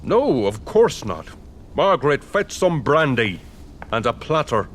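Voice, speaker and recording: An actor playing a surly, sarcastic manor servant in a gothic audio drama, with a British accent and an insolent drawl. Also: male voice, mid-range voice, big pitch swings, unhurried, slight background noise. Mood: curtly deferential.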